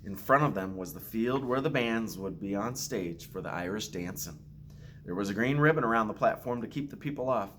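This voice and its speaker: A man who is a school principal reads a picture book aloud to children with expressive, simple storytelling phrasing. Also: fairly low pitch, brisk, a little hiss or hum. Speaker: school principal reading to pupils.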